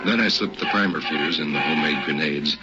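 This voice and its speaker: An actor playing a grave, deliberate man narrating his own boyhood tale in a radio drama. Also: rumbling low, loud music behind the voice. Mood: grave, tense. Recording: muffled radio recording, loud noise.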